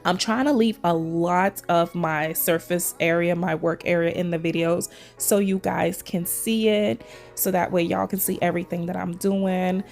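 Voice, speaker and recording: A woman's voice: fairly low pitch, fairly even intonation, normal speed, vocal fry, soft background music. A woman from Trinidad doing a casual, chatty voice-over for her nail video, calling viewers "y'all" all the time, her voice still a little scratchy from a sore throat. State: recovering voice, slight throat tickle. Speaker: nail vlogger.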